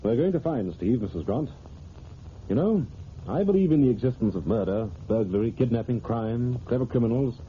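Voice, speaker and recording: Male actor as unruffled detective, quick-witted, lively pitch, old-time radio drama, faintly noisy. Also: narrow, tinny audio, close to an everyday voice. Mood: reassuring, firm. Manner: brisk, dry, unruffled. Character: cool, quick-witted, rational male amateur sleuth.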